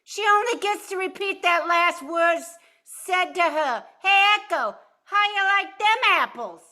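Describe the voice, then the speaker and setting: A woman's voice: extremely high pitch, lively intonation, a little slow, sharp tone, full character voice. A woman playing a jealous, bossy wife in an amateur noir radio comedy, brassy, scolding and sarcastic. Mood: angry, vindictive.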